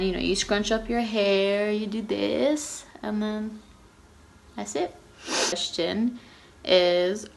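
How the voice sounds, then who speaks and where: A woman's voice: mid-range voice, vocal fry, a little hiss or hum. A nineteen-year-old woman from Texas chatting casually in a Q&A vlog, rambling with lots of fillers and restarts. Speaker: YouTuber.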